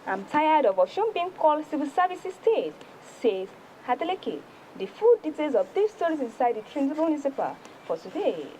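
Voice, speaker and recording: A female news presenter with a Nigerian accent reviews the newspapers in a high voice. Her delivery swings widely in pitch and is emphatic and deliberate. Some background noise sits faintly behind her. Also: big pitch swings, dark tone, poor audio. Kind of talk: read-aloud newspaper review on TV.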